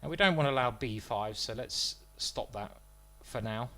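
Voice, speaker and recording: A man's voice: medium pitch, moderate pace, sharp tone. A man streaming live, commentating his own chess moves as he plays, thinking aloud and joking about his own bad play.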